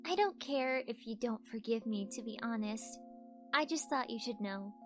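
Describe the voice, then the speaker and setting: A woman voicing a sulky, flippant teenage girl villain from an animated series, with a childish manner. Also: a little high, normal speed, music in the background, natural voice. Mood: coolly dismissive.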